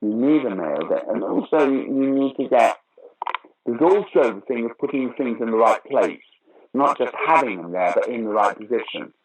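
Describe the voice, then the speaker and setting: A man's voice: medium pitch, expressive, normal speed, rough recording. An older man, answering interview questions conversationally in a wry, opinionated, emphatic way. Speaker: interview guest, actor, writer and director of pantomime.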